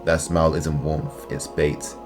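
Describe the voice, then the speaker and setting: A man's voice: rumbling low, completely flat delivery, measured pace. A man reading scripted, analytical narration as the host of a video essay. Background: music.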